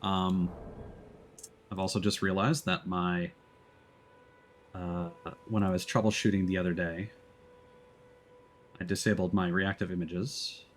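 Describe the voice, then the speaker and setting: A man giving casual, explanatory let's-play commentary as a game streamer, talking in a halting way. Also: deep voice, steady tone, a little hiss or hum. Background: background music.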